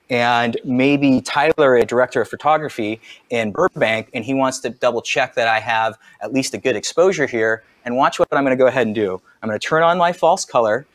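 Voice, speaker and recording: A man in his forties giving an energetic, conversational product demonstration from a home studio over a video call. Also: mid-range voice. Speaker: camera company trainer.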